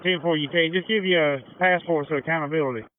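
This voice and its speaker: A man's voice: a little high, fast. An American man giving brief instructions as an incident commander over emergency two-way radio. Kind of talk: emergency radio dispatch traffic. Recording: muffled two-way radio with noise and clicks.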